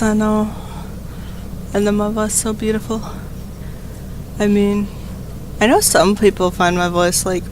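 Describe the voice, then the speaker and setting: A slightly hoarse woman reading a political text aloud on a stream and breaking into rambling, profane, emphatic commentary into a desk microphone. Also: mid-range voice, a bit bright, a little hiss or hum. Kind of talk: casual read-aloud with commentary.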